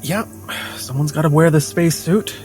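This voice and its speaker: A man in casual in-character tabletop role-play, with hesitant, halting phrasing. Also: a little high, darkish tone, faint music behind. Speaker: role-play game player.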